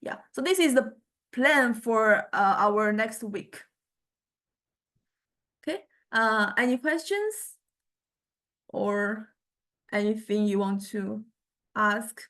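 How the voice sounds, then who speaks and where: A woman lecturing to a university class informally, speaking in halting phrases and often repeating words. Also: a little high, expressive, a bit bright.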